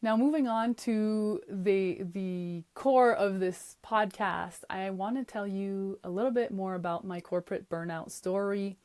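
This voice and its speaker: A woman whose first language is French hosts her podcast, chatty and upbeat, with little laughs slipped in between phrases. Her voice sits at a middle pitch for a woman, and she talks in a relaxed, personal way. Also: unhurried, hardly any pauses. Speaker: podcast host. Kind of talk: casual solo podcast monologue.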